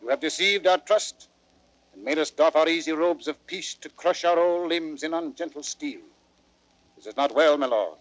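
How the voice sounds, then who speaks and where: Give the voice of an aging king played by a male actor, stern and regal, in a Shakespearean audio drama. His pitch sits a little high for a man. The delivery is measured and declamatory, recorded very dry. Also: dry recording, lightly acted, low-quality recording. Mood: stern reproach. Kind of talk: classical Shakespearean radio drama acting.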